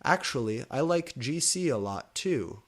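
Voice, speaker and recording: A man's voice: mid-range voice, animated, voice pushed up. A man voicing a secretive, brooding young goth schoolboy in a story. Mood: amazed. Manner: drawn-out, secretive.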